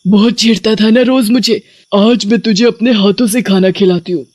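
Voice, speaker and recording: A woman voicing a vengeful young witch ghost who threatens and taunts in an animated horror story. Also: medium pitch, moderate pace, no room sound, pitched above the performer's own voice, natural voice. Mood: angry, vengeful.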